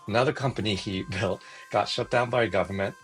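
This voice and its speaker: A man's voice: fairly low pitch, fast, husky, soft background music. A man hosting a podcast interview, introducing a guest and chatting in a friendly way with many fillers. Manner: friendly, says "like" often. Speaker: podcast host.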